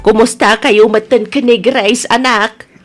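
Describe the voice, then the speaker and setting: A woman's voice: on the high side, fairly even intonation, measured pace, music in the background, natural voice. An actress playing a stern, aggrieved wife and concerned mother in a radio drama, firm and reproachful.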